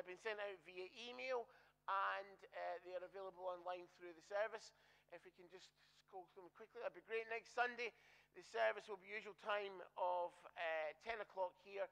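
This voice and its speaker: A man's voice: sits high, creaky, fairly bright tone, clear, resonant tone, poor audio. A man, a minister, giving the church notices in a chatty, joking way and correcting himself as he goes.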